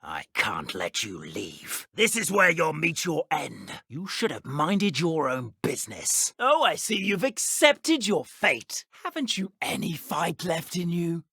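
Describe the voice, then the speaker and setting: Haughty, theatrical dark wizard villain. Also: male voice, a little high, gravelly, slightly rough, no room sound, clean studio sound, heavily acted. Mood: menacing, mocking. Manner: pompous, theatrical, formal old-fashioned phrasing. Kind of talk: video game villain voice acting.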